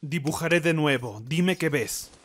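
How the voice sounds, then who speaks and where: A man's voice: on the high side, a little slow, fairly bright tone. A man playing a cocky, short-tempered military captain in a comedic anime parody dub, stern and sarcastic. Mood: stern, lecturing. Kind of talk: comedic fandub parody acting.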